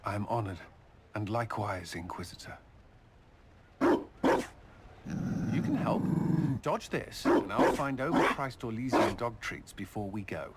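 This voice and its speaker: An actor playing a stern but dryly warm, honorable soldier in a video game, speaking with earnest, measured authority. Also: male voice, fairly low pitch, expressive, heavy background noise. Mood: solemn, then playful command. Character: stern, honorable soldier man with dry warmth. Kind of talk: video game dialogue acting.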